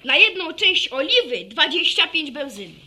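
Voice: high voice, big pitch swings, normal speed, pushed and bright, exaggerated voice. A woman playing an enthusiastic, know-it-all village boy who lectures on technical detail in a radio drama. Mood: eager, instructive. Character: eager motorbike-mad village boy, chatty know-it-all. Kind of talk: Polish radio drama acting.

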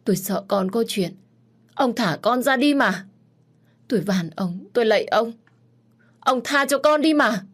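A woman voicing a desperate mother who is the second wife of a landowner, pleading in a shrill voice near tears. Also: fast talker, hardly any pauses, close to an everyday voice. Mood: frantic pleading.

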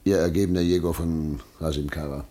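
A man acting a stern, duty-bound middle-aged governor in a German radio drama, speaking in formal, measured, emphatic official diction. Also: extremely low pitch, steady tone, warm tone. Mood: stern, solemn. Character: stern, duty-bound male Russian governor, father of a family.

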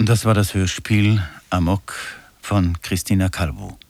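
A man reading the closing credits of a radio play in a formal, measured way. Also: low voice, steady tone, a little mellow, dry recording. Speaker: announcer.

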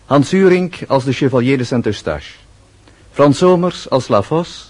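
A male radio announcer with a medium-pitched voice. He reads scripted closing announcements and credits for a drama serial. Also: animated, measured pace.

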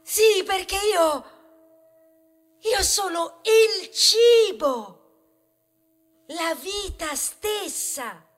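A high-pitched, aggrieved talking wheat grain. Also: female voice, lively intonation, extremely slow, pauses often, heavily acted. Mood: pompous lecturing. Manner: plaintive, conversational asides to the audience, sudden declamatory swells. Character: aggrieved talking grain of wheat, male, proud and self-justifying. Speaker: stage actor performing a monologue. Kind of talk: theatrical satirical monologue, rhetorical, with bursts of song.

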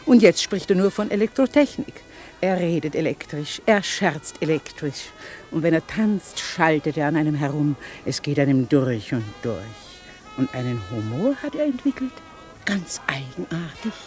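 A woman's voice: low voice, expressive, moderate pace, a little mellow. Flirtatious society lady, wildly lilting. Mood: gossipy, amused. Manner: gushing, coquettish, theatrical. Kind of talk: 1950s German radio play acting. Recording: vintage 1950s radio play with music.

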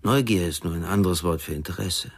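An unflappable psychologist in a radio crime play. His voice is low and rough-edged, and he speaks with measured formality, probing and parrying. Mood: composed, probing. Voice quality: husky. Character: unflappable, probing male psychologist turned counsellor. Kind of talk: German radio crime drama acting.

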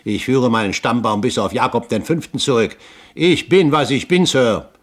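An actor playing a proud, cunning older pirate captain in a 1970s German radio drama, speaking eloquently and theatrically. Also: male voice, medium pitch, normal speed, lightly acted. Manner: eloquent, pompous, theatrical phrasing.

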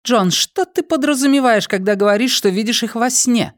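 A man voicing an imperious noblewoman who interrogates a prisoner in an audiobook, with a sharp, commanding manner. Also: extremely high pitch, normal speed, no room sound, studio quality, pitched above the performer's own voice. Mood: urgent, commanding. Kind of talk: audiobook character voice.

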